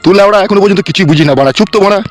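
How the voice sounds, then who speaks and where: Man voicing a blunt, rapid-talking cartoon uncle. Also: high voice, faint music behind, lightly acted. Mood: impatient. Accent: rural West Bengal Bengali. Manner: gruff, swearing, blunt. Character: blunt, crude, henpecked village uncle. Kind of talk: comedy cartoon voice acting.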